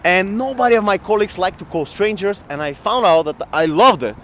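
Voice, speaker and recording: A man in his thirties from Bulgaria telling his work story in a casual outdoor interview, animated and laughing often, into a handheld mic. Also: high voice, a little fast, slight background noise. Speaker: guest entrepreneur. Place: outdoor city square in winter. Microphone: handheld mic shared, camera close.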